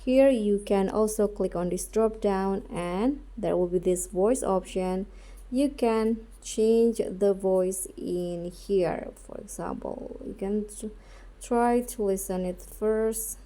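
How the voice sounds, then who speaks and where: A woman narrates a step-by-step app tutorial in a soft-edged, mellow voice. She takes it slowly, at a medium pitch, halting between short phrases as she guides the viewer. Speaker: tutorial host.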